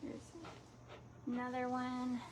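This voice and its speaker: A woman's voice: high-pitched, completely flat delivery, takes it slowly, noisy background. A young woman chatting casually to viewers while she refinishes furniture at home, talking as she works and often trailing off mid-thought. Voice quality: slight lisp. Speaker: craft vlogger. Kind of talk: casual live craft chat.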